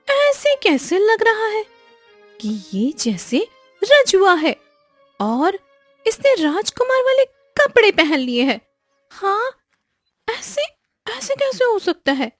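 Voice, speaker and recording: Cartoon village woman, acted by a female reader: very high whine, slow imploring delivery, music behind. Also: a bit bright, no room sound, heavily acted. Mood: shocked, remorseful. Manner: imploring, drawn-out, deferential. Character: pleading, distressed village woman in a moral-story cartoon.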